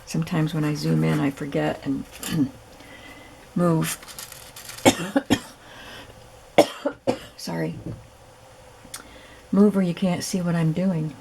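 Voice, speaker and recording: A woman hosting a crafting video, hoarse and raspy from lack of sleep, chatting casually as she works. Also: low-pitched, very slow, pauses often, slight background noise, rough recording. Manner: relaxed, chatty, thinking aloud while working. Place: home craft room.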